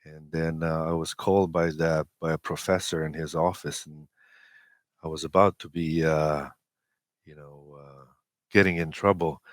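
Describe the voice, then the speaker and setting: A man in his forties with a Romanian accent, a native Romanian speaker, telling personal stories as an interview guest in a halting, hesitant way with many pauses. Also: very deep voice, little pitch movement, no echo, studio quality. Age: 40s. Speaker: interview guest, amateur radio operator.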